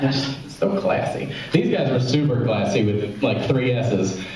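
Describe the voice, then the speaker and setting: A man's voice: mid-range voice, animated, measured pace, darkish tone. A young man from Memphis doing rambling open mic stand-up, breaking off mid-joke and laughing at his own lines. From Memphis, Tennessee, US. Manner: rambling, self-interrupting, laughs at own jokes. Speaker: stand-up comedian. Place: small club, live audience. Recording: very echoey room, from far off.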